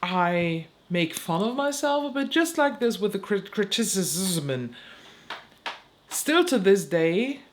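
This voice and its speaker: A woman's voice: medium pitch, very animated, slow, a little hiss or hum. A young woman, a beauty creator giving blunt opinions to camera, swearing casually and stumbling over words now and then. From Germany. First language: German.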